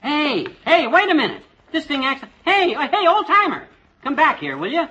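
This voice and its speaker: Excitable, bright-voiced folksy husband. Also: male voice, fairly high pitch, a little fast, breathy edge, exaggerated voice. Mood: excited. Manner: folksy slangy grammar, blustering, stammers when flustered. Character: excitable, folksy, scheming middle-aged married man. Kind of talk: 1950s radio comedy acting. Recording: dull, faintly noisy vintage radio recording.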